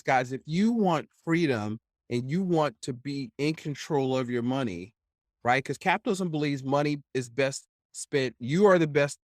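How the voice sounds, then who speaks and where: A man's voice: mid-range voice, no room sound, clean studio sound. A man hosting a podcast interview, chatting casually in a rambling, opinionated way and often tagging his points with "right?". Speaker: podcast host.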